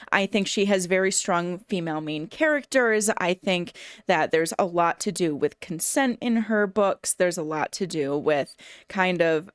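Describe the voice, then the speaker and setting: A woman with a mid-range voice chats informally, as a reviewer recommending books. The sound is dry, with very little room echo. Her delivery is that of a casual talk to camera. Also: medium pitch. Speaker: book reviewer.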